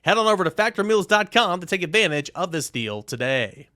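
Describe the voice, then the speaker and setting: A man's voice: sits high, big pitch swings, brisk, pushed and bright, no echo, clean studio sound. A man hosting a sports podcast, moving between ad reads and talk to the listener.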